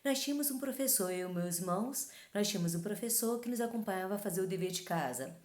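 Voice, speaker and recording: Host, woman from Taiwan, middle pitch, chatty tag questions, reverberant room. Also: lively intonation, quick pace, darkish tone. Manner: conversational, frequent tag questions. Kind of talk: talking-head advice talk.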